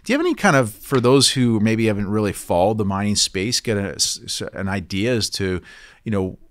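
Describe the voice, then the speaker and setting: Low-voiced eager male interviewer. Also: animated, fairly quick, fairly bright tone, no echo. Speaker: interviewer, investment podcast host. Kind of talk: interview conversation.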